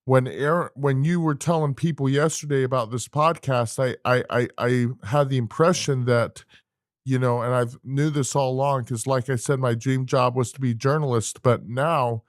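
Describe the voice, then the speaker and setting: A man co-hosting a podcast in casual chat, earnest and halting, often repeating words and starting over. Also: medium pitch, steady tone, no echo, studio quality. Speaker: podcast co-host.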